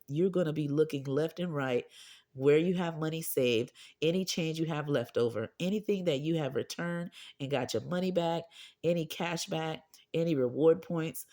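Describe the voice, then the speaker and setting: A woman with a cold and a hoarse, deep, husky voice, chatting with viewers as the host of a budgeting channel. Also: darkish tone. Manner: pushes her voice out to sound clearer; chatty, often asks viewers okay or right. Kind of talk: casual chat to camera.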